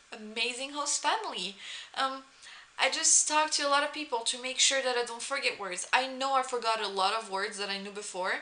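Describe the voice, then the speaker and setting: A young woman with a French accent, a native French speaker, chatting casually to camera with many pauses and restarts. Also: on the high side, bright, forceful tone. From France. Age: young adult. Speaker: vlogger, former exchange student.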